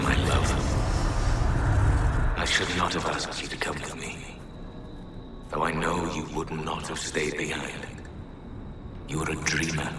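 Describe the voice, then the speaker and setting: An actor playing a solemn, weary and devoted elven leader in a video game, speaking in a grave, measured, tender way. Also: male voice, extremely low pitch. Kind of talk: dramatic video game monologue. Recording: roomy, distant, noisy game cutscene audio.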